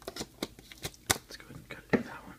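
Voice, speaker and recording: A man softly whispering an informal, unhurried ASMR unboxing in a breathy voice. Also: very noisy. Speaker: ASMR video creator. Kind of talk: ASMR unboxing, softly narrated show-and-tell.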